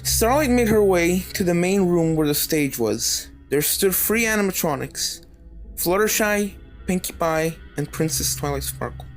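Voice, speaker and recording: A woman's voice: lowish voice, lively intonation, measured pace, faint music behind, low-quality recording. A woman reading a scripted story aloud as a dramatic narrator, telling the tale in character-driven prose. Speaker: fan fiction narrator.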